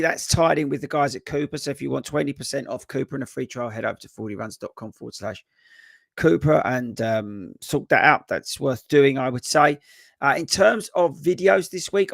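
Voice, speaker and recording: Hoarse running coach rambling through a live stream, lots of ums, dry close mic. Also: male voice, mid-range voice, fairly bright tone, no room sound. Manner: chatty, rambling with many fillers. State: hoarse, voice just coming back. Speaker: running coach and podcast host. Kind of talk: casual live-stream chat. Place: home desk.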